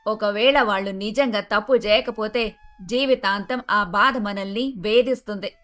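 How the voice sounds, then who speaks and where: A woman playing an emphatic, emotional middle-aged mother-in-law in an animated moral story. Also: mid-range voice, unhurried, lightly acted. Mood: earnest, firm. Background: faint music.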